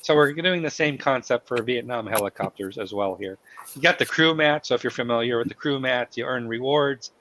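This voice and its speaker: A man with a cold hosting a live company stream, talking in halting bursts full of fillers and restarts. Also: medium pitch, sharp tone, slight background noise, poor audio. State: has a cold, losing his voice. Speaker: host of company town hall stream.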